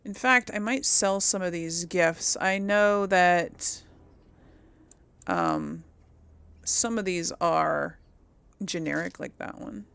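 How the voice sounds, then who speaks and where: A woman's voice: on the low side, big pitch swings, slow pace, vocal fry, pauses often, a bit bright, clean tone, no room sound. A woman giving casual, chatty gameplay commentary as host, musing aloud and often trailing off. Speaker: gaming video host.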